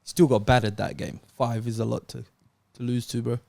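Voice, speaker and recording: A man's voice: medium pitch, level tone, moderate pace, vocal fry, dark tone, no room sound. A man joining a football podcast with casual, bantering interjections.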